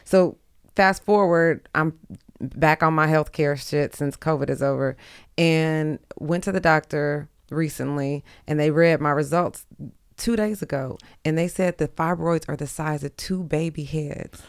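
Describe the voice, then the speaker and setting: Woman, low gravelly voice, dry close sound, casual podcast guest, blunt, slangy. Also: a little slow, no echo. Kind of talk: casual chat.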